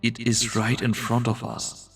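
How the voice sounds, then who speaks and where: A man playing a brooding, world-weary strategist in a fantasy game fan dub, delivering solemn, theatrical monologues with a gravelly voice. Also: mid-range voice, completely flat delivery, a little slow, a bit bright, distant mic. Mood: bitter, resigned. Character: brooding, world-weary male strategist called Doctor, torn and grandiose. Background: background music.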